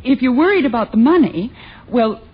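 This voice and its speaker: A woman's voice: sits high, expressive, brisk, husky. An actress playing an earnest, sweetly stubborn suburban wife and mother in a 1950s radio sitcom. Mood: insistent. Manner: earnest, insistent. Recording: dull, noisy vintage radio broadcast.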